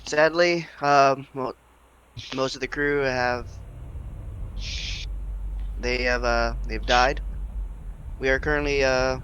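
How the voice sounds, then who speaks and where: A man's voice: mid-range voice, steady tone, slow pace, long pauses, fairly bright tone, muffled recording, slight background noise. A man playing a tabletop role-playing game casually, hesitant and searching for his words. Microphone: online voice call.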